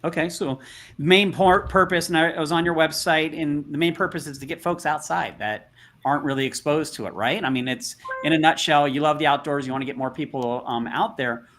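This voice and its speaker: A man's voice: a little high, a little fast, a bit bright. A man moderating an online panel over a video call, asking casual, rambling questions with frequent restarts.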